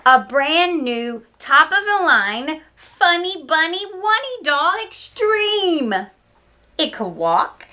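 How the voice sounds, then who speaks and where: Slow-paced woman reading to children. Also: high voice. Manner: expressive, addresses young listeners directly. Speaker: teacher reading a picture book aloud. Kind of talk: children's read-aloud storytelling with asides.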